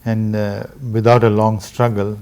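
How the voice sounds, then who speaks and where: A middle-aged man from Singapore, a guest talking slowly and earnestly about struggle and faith. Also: fairly low pitch, little pitch movement, darkish tone, clear, resonant tone. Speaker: interview guest, businessman. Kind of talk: reflective spiritual conversation.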